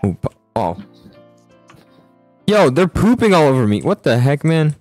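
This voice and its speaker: Animated young man in casual gaming chat. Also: on the high side, a little slow, soft background music. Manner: excitable, slangy, exclaiming. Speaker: host and player in a school roleplay.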